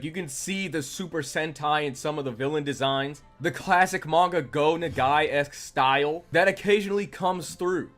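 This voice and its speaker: A man's voice: on the high side, a bit bright. A man delivering review commentary as a video reviewer. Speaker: manga reviewer. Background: background music.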